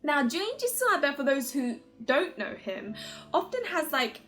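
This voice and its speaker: A woman's voice: high voice, very animated, a little slow, a bit bright, faint music behind. A woman hosting her own video, chatting casually to viewers in a giggly, informal, rambling fangirl manner. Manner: giggly, gushing fan talk, informal and rambling. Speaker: host of a video channel about otome games.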